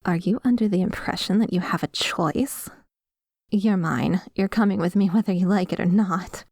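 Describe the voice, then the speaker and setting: A woman playing a dominant, obsessive vampire in a scripted ASMR roleplay, savouring her words with breathy sighs and slips into whispers, commanding and condescending. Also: on the low side, moderate pace, darkish tone, no room sound, clean studio sound. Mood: commanding, menacing. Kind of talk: scripted ASMR roleplay audio, addressing the listener.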